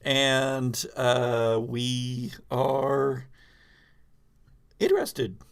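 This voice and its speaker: A man's voice: mid-range voice, animated, extremely slow, vocal fry. A man hosting a live podcast in a casual, conversational way as the game master.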